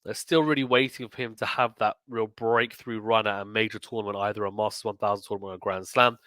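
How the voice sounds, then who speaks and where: A man hosting a casual, chatty tennis preview, thinking aloud emphatically, with a slightly croaky voice as he recovers from illness. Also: on the low side, fairly even intonation, quick pace, pushed and bright, no echo. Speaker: sports YouTube host. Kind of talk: casual tournament preview commentary.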